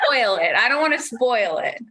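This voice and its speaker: Playful woman with a bright voice. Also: on the high side, very animated, moderate pace. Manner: playful, joking. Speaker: podcast creator, panel guest. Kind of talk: casual panel chat.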